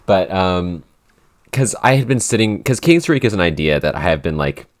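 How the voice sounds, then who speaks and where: Very deep-voiced young man, rambling chat. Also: lively intonation, normal speed. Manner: rambling, lots of "like" and restarts. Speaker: podcast host and show writer. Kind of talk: casual chat.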